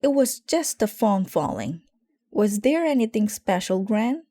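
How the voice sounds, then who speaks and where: A busy mother bear from a picture book, acted out by a woman reader. She sounds flustered and harried, her pitch lifted, with a mellow, dry tone. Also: sing-song, a little slow, dry recording.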